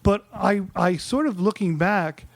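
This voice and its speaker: A man's voice: sits high, a little slow, creaky, no room sound. A man around forty, a musician guest, telling stories in a rambling, enthusiastic way, often repeating words as he restarts. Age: 40s. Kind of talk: casual storytelling.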